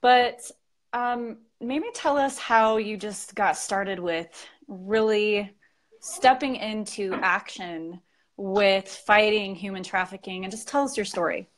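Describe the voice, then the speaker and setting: A woman hosting a live-stream interview, upbeat and quick to laugh. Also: medium pitch. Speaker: show host.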